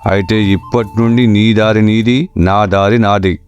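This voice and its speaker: Man playing a stern, low-voiced farmer elder brother. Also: fairly even intonation, measured pace, darkish tone, dull audio, faint music behind, lightly acted. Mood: fed up. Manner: scolding, blunt. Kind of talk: comic Telugu moral-story voice acting.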